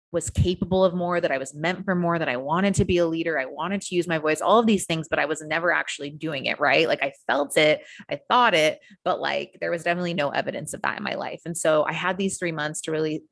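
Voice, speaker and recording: Low gravelly American woman coach. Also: no echo. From United States. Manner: energetic storyteller, swears casually, many fillers. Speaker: coach, podcast guest. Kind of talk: casual podcast interview.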